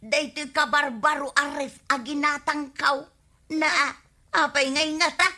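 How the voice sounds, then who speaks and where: A woman's voice: high-pitched, fairly even intonation, unhurried, sharp tone, heavily acted. A woman playing a distressed, plaintive village wife in a radio comedy drama, given to wailing exclamations. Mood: fretful.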